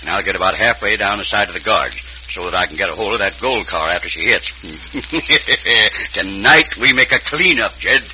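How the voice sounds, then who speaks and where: An actor playing a tough, scheming American outlaw in an old-time radio western. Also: male voice, on the low side, animated, moderate pace, hardly any pauses, close to an everyday voice. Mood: gloating. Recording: very noisy, dull vintage radio recording.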